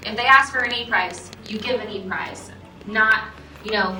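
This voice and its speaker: A woman's voice: mid-range voice, normal speed, sharp tone, a little hiss or hum. An American woman giving practical explanations of her work practice in a group discussion. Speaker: car dealership employee, workshop participant. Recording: echoey room, distant mic, background music.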